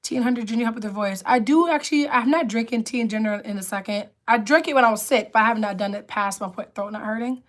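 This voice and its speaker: Woman, livestream host, raspy alto, a little high, loose casual chat with viewers. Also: fast talker, hardly any pauses. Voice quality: lower alto voice. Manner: chatty, reads and answers comments aloud. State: raspy voice from illness.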